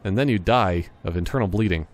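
A man hosting a gameplay video with casual, joking commentary and goofy deadpan asides. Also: low-pitched, a little fast, soft background music. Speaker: gaming video host.

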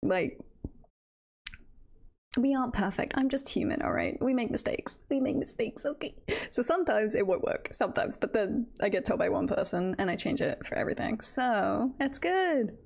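A woman streamer chatting casually to her live audience in a nervous, excited, giggly and rambling way. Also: on the high side, moderate pace, vocal fry.

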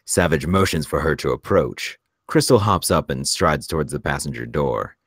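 A man with an extremely low voice narrates a screenplay's action lines. His reading is measured and scripted. The recording is dry, with very little room sound. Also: dry recording. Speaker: narrator reading stage directions.

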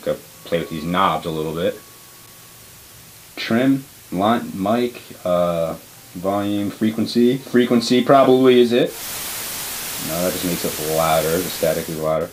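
A man in his twenties talking casually and excitably in slang to a camera in a bedroom. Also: sits low, a little slow, a little hiss or hum, poor audio. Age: 20s. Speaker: vlogger. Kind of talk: casual reaction vlog. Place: small bedroom with desk.

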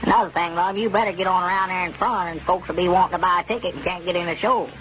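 An actor playing an excitable, loyal old country codger in a radio comedy, with a rustic Southern accent. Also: male voice, high voice, fast talker. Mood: protesting. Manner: rustic, ungrammatical, protesting. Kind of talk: 1930s radio comedy acting. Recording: muffled, noisy old radio broadcast.

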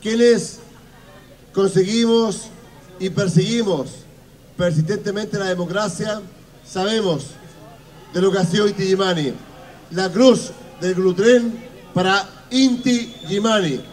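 Slow, measured man on a stage. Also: sits high, a little mellow. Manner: slow, measured, halting speech. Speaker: official speaking at a ceremony. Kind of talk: formal ceremonial speech. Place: outdoor festival stage.

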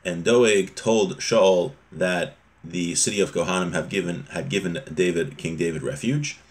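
A man's voice: low voice, moderate pace. A man, around his thirties or forties, giving an informal religious lesson, reading and explaining, often rephrasing his points. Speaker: religious teacher. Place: small home room, indoors.

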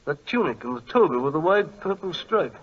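A polite, apologetic British gentleman archaeologist in a 1940s radio play. His voice is husky and fairly high, with hesitant phrasing. The old recording sounds muffled. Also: expressive, brisk. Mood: earnest. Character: fussy, courteous English gentleman archaeologist.

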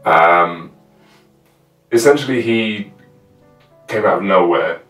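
A young man with a low voice recounts things casually and haltingly. He sits a little away from the microphone in a roomy space. Faint music plays underneath. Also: expressive, fairly bright tone, distant mic. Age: 20s. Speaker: guest, fellow live roleplayer. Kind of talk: casual chat, recounting a scene. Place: small room at home with costumes.

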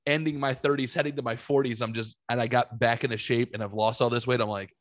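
A man in his late thirties hosting a podcast from a home studio, talking bluntly with plenty of swearing into a boom-arm mic. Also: steady tone, fast, no room sound. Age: 30s. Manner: blunt and profane, punchy retorts. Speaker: podcast host. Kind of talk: casual podcast chat. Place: home studio room, indoors. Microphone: studio mic on boom arm, headphones.

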